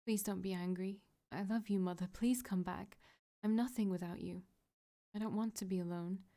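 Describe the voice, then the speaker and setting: A woman's voice: medium pitch, steady tone, normal speed, dark tone, close to an everyday voice. A woman voicing a desperate, broken young woman who pleads through tears. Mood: tearful pleading. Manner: pleading, tearful.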